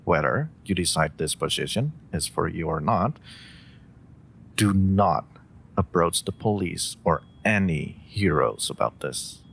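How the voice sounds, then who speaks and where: A man's voice: expressive, measured pace, gravelly, fairly bright tone, husky, exaggerated voice. A man playing a polite, theatrical supervillain in a scripted audio roleplay, speaking courteously with hesitant asides and faint menace. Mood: serious, warning. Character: polite, theatrical male supervillain interviewing a job applicant. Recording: faint background ambience and rumble.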